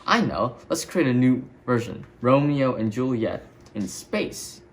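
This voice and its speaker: A man's voice: medium pitch, expressive, unhurried, slight background noise. A man reading a storybook as an eager, boastful toy space ranger hero with an announcing manner. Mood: eager suggesting.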